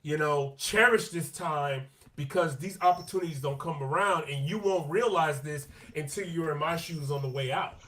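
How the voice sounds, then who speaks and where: A man co-hosting a podcast in casual sports chat, animated and slangy, repeating his points for emphasis. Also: fairly high pitch, moderate pace, few pauses. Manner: animated, quotes players in their own voices. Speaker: podcast co-host.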